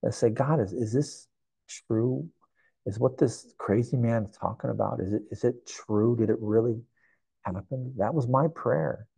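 A man's voice: mid-range voice, measured pace, gravelly, warm tone, husky, no echo, low-quality recording. A man in his sixties preaching a sermon to camera in a church, earnest and reflective, often repeating phrases. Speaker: pastor. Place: indoor church sanctuary.

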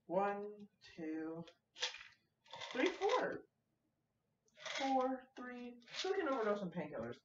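A woman's voice: mid-range voice, very animated, takes it slowly, long pauses, highs cut off, background noise. A young woman chatting casually and rambling to her viewers in an irreverent, foul-mouthed, self-mocking manner. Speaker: host of a fan fiction reading channel.

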